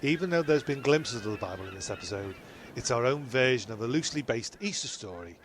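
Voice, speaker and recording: A man's voice: medium pitch, expressive, fast. Stammering, exasperated man in a comedy. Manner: exasperated, stammering, repeats himself. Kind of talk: scripted comedy with ad-libbed asides. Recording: faint background noise.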